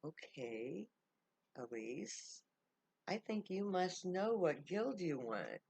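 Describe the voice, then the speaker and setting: A storyteller voicing a calm, businesslike woman counselor who speaks briskly. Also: medium pitch, gravelly, husky, no room sound, voice pushed up, full character voice.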